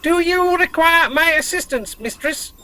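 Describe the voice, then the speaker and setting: A performer voicing a dutiful robot dog companion with clipped, deadpan mechanical phrasing in an audio drama. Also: very high voice, unhurried, exaggerated voice. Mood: flat, dutiful. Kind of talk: fan audio drama acting.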